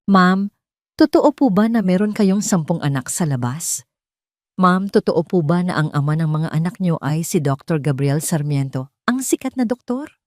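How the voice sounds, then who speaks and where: A woman's voice: lowish voice, lively intonation, moderate pace, a little mellow, dry recording, clean studio sound, close to an everyday voice. Pushy, insistent news reporter, acted by a woman. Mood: pressing. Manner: insistent questioning.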